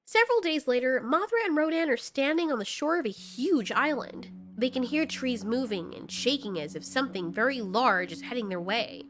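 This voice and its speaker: A woman's voice: high-pitched, lively intonation, moderate pace, few pauses, a bit bright. A woman narrator reading a scripted story in an audio drama.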